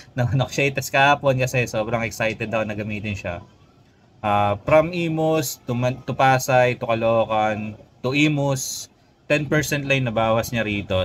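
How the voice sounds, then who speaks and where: Filipino man, medium pitch, casual motovlog gear review, conversational tags, faint background noise. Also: a bit bright. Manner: conversational, addresses viewers, often tags phrases with "no?".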